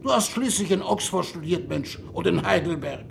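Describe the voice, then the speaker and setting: On a faintly noisy old radio drama recording, a gravelly male envoy from Earth. He is weary yet eloquent and easily indignant, speaking in theatrical, rhetorical phrases. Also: sits high, lively intonation, normal speed, heavily acted. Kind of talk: 1960s German-language radio drama acting.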